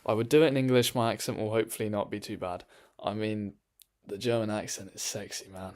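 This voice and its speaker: A man's voice: fairly low pitch, fairly even intonation, brisk, a little mellow. A man chatting casually on a live stream from his bedroom, rambling with lots of ums and restarts.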